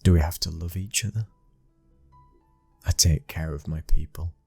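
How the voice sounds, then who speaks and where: Very deep, husky British mafia heir, acted by a man. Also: steady tone, no room sound, faint music behind, heavily acted. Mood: cold, guarded. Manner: slow, hushed, commanding. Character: cold, dominant young mafia heir, protective new husband. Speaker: ASMR roleplay performer. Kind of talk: ASMR boyfriend roleplay acting.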